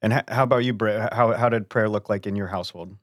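Man hosting, low-pitched, hesitant questions, dry close mic. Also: fairly even intonation, dry recording. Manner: halting, repeats words. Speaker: host. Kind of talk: interview questions.

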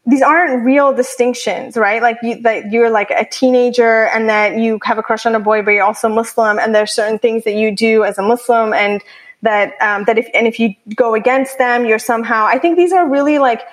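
A young adult woman in casual discussion, speaking haltingly with many fillers and restarts. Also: on the high side, steady tone.

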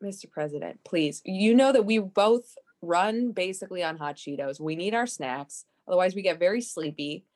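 A woman's voice: fairly low pitch, lively intonation, moderate pace, hardly any pauses, darkish tone, pitched below the performer's own voice, close to an everyday voice. A woman playing a pleading, protesting underling in an improvised comedy sketch. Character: pleading, snack-loving underling sent on a vaccine mission.

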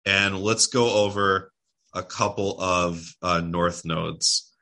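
Man, tired, livestream guest, forceful tone, restarts words. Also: sits low, fairly even intonation, takes it slowly. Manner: rambling, restarts words, many fillers. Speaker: spiritual guest speaker. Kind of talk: casual livestream talk.

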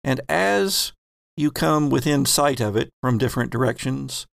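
Gravelly man running a tabletop game. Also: mid-range voice, lively intonation, dry recording, clean studio sound. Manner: explains rules, then narrates scenes in measured bursts. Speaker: game master.

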